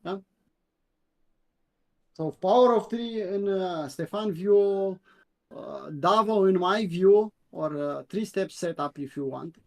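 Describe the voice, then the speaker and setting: A man from Romania with a Romanian accent, reviewing crypto charts on a live stream in a halting way, with many fillers and repeats. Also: high-pitched, gravelly, darkish tone. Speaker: co-host, crypto chart analyst.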